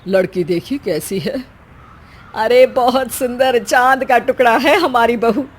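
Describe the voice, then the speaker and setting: A woman voicing a doting but sharp-tongued village mother in an animated story. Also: fairly high pitch, unhurried, breathy edge.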